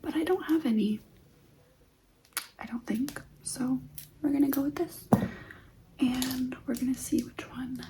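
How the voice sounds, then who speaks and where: A woman hosting ASMR in a breathy whisper, talking gently and directly to the listener and repeating phrases. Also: sits high, steady tone, measured pace, long pauses, noisy background. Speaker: ASMR host.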